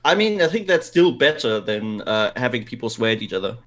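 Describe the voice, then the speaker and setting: A man's voice: medium pitch, very animated, a little fast, a bit bright. A man chatting casually as a co-host on a gaming podcast.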